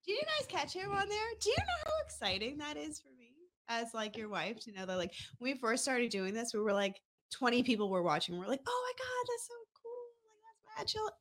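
A tired woman in her late thirties, the host's wife, talking in an excitable, playful way. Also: high voice, a little fast, hardly any pauses. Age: 30s.

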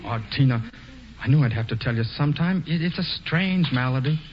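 A man's voice: mid-range voice, animated, brisk, slight background noise, natural voice. A man playing an earnest young hero. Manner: earnest, stammering. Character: hot-tempered young male hero, earnest. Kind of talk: 1940s radio acting. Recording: dull old radio broadcast.

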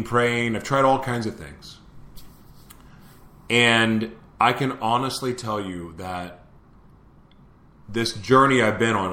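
A man's voice: lowish voice, pushed and bright, reverberant. A man hosting a live motivational show, preaching to his listeners and asking them the same question again and again. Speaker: show host.

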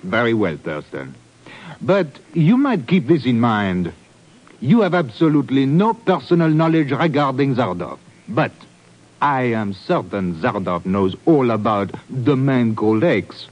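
An actor playing a formal European police inspector, measured in manner, in 1950s radio drama style. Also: male voice, mid-range voice, big pitch swings. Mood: grave warning. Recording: muffled vintage radio broadcast.

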